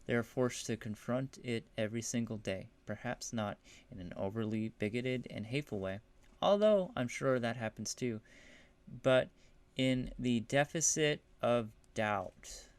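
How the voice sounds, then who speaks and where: A man co-hosting a podcast, chatting casually or reading aloud in a loose, halting, slangy way. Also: medium pitch, clear, resonant tone, no room sound. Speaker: podcast co-host.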